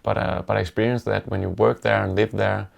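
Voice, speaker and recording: A man with a Danish accent, a native Danish speaker, casually and reflectively telling stories in an interview with halting pauses. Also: fairly low pitch, level tone, vocal fry. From Denmark.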